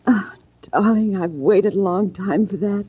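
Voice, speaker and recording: Gushing gold-digger, muffled old radio. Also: female voice, medium pitch, unhurried, breathy edge. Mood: romantic, greedy. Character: scheming gold-digging wife, gushing, falsely sweet. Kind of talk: 1940s radio drama acting. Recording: muffled, band-limited 1940s radio broadcast.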